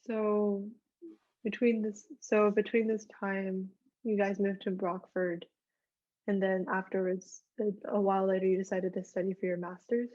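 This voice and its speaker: A woman interviewing her grandmother for an oral history, asking short, gentle questions. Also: medium pitch, level tone, normal speed, dull audio. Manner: brief, soft prompting questions.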